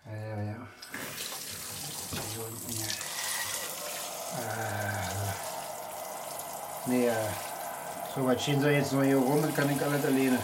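An actor playing a stubborn, frail older man with a Berlin accent, rambling and repeating himself haltingly in a radio drama. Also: mid-range voice, level tone, noisy background, close to an everyday voice. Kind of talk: naturalistic radio drama dialogue.

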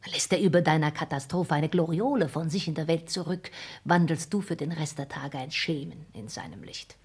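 An actress playing a hard, ambitious, scheming countess in a radio play, with formal, intense stage diction and a breathy, husky voice. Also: female voice, low-pitched, moderate pace, a little mellow. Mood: driven, resolute. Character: scheming, hard, ambitious countess plotting against her dying father. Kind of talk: 1960s German radio play acting.

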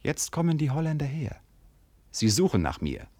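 An actor playing a proud, defiant young Malay seafaring prince in a German radio play, with impassioned, declamatory delivery. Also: male voice, a little high, sing-song, moderate pace, mellow. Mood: agitated, defiant.

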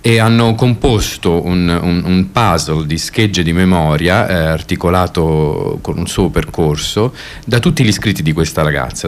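Male director presenting a play, deep voice, explanatory delivery with hesitant, repeated words. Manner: halting, searching for words, repeating himself. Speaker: theatre director introducing excerpts. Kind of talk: spoken introduction to a radio play.

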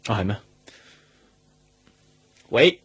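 An actor with a Hong Kong accent playing a determined, probing police detective in a radio drama. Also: male voice, drawn-out words, a bit bright, no room sound. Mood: businesslike. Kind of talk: Cantonese radio drama acting. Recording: music bed behind the voice.

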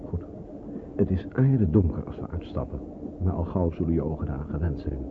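Very deep, calm escort. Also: male voice. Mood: firm, instructing. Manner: measured, instructing, reassuring. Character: calm, cautious resistance escort, protective and authoritative man. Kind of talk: radio drama acting. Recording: muffled, noisy old radio play recording.

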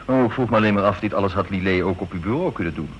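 An actor playing an inquisitive, needling army major in a radio drama, probing and insinuating, on a dull, noisy old recording. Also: male voice, fairly low pitch, racing, close to an everyday voice.

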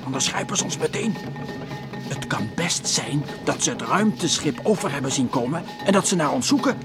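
A man's voice: a little high, animated, normal speed, over music. Een man die in een ouderwets hoorspel voor kinderen een angstige, snel geërgerde ruimtereiziger speelt. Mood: fearful. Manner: anxious, exasperated, exclamatory. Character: fearful, grumbling space traveller, prone to alarm. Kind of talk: old-fashioned children's radio play acting. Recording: old radio play recording.

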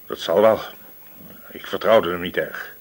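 A shifty male suspect in a radio play. His voice is fairly deep and rasps a little, and he talks evasively, protesting his innocence with wounded indignation. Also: steady tone, a little slow. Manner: evasive, protesting, smooth-talking. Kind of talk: Dutch radio play dialogue.

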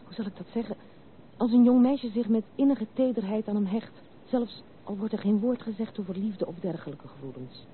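A woman's voice: medium pitch, fairly quick, close to an everyday voice. Actress as spirited young noblewoman, wounded, earnest confiding manner, radio play, narrow tinny sound, faint noise. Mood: probing, intent. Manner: candid, earnest, literary phrasing. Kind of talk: Dutch radio drama acting.

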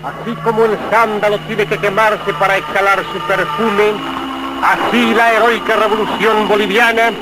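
A man's voice: sits high, level tone, normal speed, over loud music, poor audio. A man delivering declamatory political oratory on an old archival recording.

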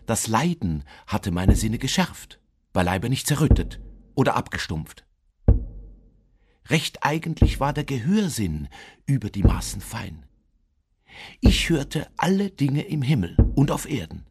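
An actor playing a nervous, obsessive madman who insists he is sane, in an intense, deliberate radio-play monologue with a husky voice. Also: mid-range voice, very animated, darkish tone, exaggerated voice. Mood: agitated, insistent, then feverish, defiant. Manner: intense, deliberate, with sudden emphatic swells.

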